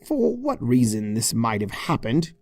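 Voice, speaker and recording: Comic audiobook narrator: arch, theatrical delivery, swooping intonation, mid pitch, dry recording. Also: huge pitch swings, a little slow, darkish tone, no room sound. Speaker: fan fiction narrator.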